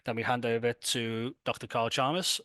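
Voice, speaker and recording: A man's voice: medium pitch, steady tone, moderate pace, a bit bright, no echo, clean studio sound. A man with a Scouse accent hosting an event in a casual, chatty, enthusiastic and colloquial way. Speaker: event host and presenter. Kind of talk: casual, informal event presentation.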